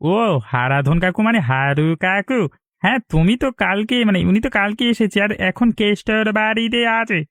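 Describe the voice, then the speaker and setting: Man as a high-voiced bumbling village husband. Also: normal speed, a bit bright, no echo. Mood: placating, confused. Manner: repetitive, flustered, wheedling. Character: greedy, bumbling village husband, eager and sly. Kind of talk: Bengali cartoon voice acting.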